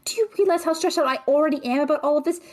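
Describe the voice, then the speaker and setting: A woman's voice: high voice, rapid-fire, darkish tone, lightly acted. A young woman playing a stressed, sarcastic student who is a loyal friend, in a naturalistic audio drama. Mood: angry, stressed. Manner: sarcastic, exasperated, swearing.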